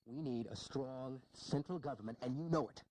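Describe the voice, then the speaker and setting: An actor playing an earnest, worried founding statesman in a historical audio drama, deliberating haltingly and with emphasis. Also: mid-range voice, a little mellow, lightly acted. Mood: urgent.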